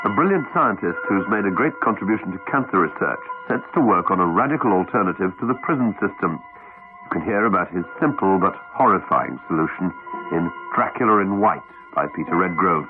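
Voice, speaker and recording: A British man making scripted radio continuity announcements in a measured, formal way. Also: sits low, animated. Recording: dull 1980s radio broadcast, dry, faint music.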